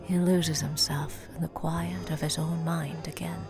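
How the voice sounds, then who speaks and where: A woman's voice: deep voice, flat delivery, measured pace, creaky, music in the background. A woman acting as the grave, brooding narrator of an audio drama, reading dramatic scripted narration. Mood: somber, measured.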